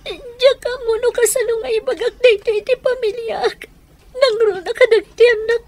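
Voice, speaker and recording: A Filipino actress playing a troubled, pleading wife in a radio soap, plaintive and hesitant, with a Filipino accent. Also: female voice, extremely high pitch, steady tone, normal speed, a little hiss or hum. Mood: tearful, pleading. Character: troubled, pleading wife confiding in her friend.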